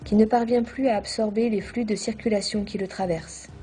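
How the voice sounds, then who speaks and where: A woman reading a scripted documentary narration in a measured, formal manner. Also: medium pitch, fairly even intonation, mellow.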